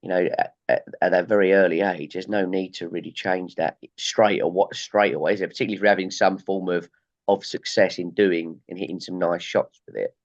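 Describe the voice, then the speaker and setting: A man's voice: sits low, fairly even intonation, fairly quick, highs cut off. A man hosting a golf interview, asking long rambling questions with hesitant restarts. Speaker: podcast host.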